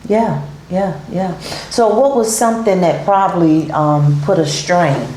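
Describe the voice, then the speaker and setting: A woman's voice: lowish voice, unhurried, warm tone, reverberant, a little hiss or hum, low-quality recording. A middle-aged woman hosting a talk show, chatting casually with guests, with many fillers and repeated yeahs. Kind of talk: casual interview chat.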